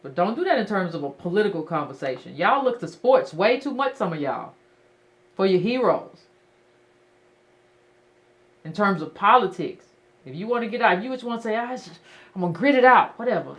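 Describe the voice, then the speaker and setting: A woman's voice: mid-range voice, very animated, fairly quick, long pauses. A woman hosting a call-in show, talking bluntly and emphatically and repeating her points to callers. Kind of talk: casual call-in talk.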